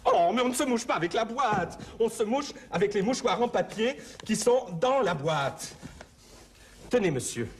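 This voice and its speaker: An actor playing a polite, helpful pharmacist with a fussy streak, explaining and correcting clearly in a comedy sketch for learners. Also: medium pitch, expressive, moderate pace, a little mellow, slight background noise, lightly acted.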